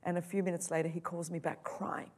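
A middle-aged woman preaching and telling her own story, passionate, moving between loud, soaring lines and quiet, hushed ones. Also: fairly low pitch, little pitch movement, a little fast, slightly rough. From Australia. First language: Greek. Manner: impassioned, swings from loud to soft. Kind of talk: sermon testimony.

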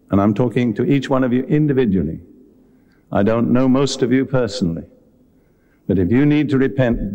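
A man preaching a sermon to a congregation, speaking earnestly and deliberately and addressing his listeners directly. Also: medium pitch, dark tone, highs cut off. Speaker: visiting preacher. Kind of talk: sermon with Bible teaching and altar call. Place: live before a congregation at a meeting.

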